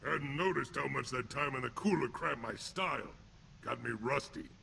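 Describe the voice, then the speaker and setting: An actor playing a crude, sneering alien thug and ex-convict enforcer in a video game, taunting in blunt street-gangster slang with a rough voice. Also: male voice, mid-range voice, moderate pace, bright, forceful tone, slight background noise. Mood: gruff boasting. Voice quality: gravelly, rough. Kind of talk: video game character acting.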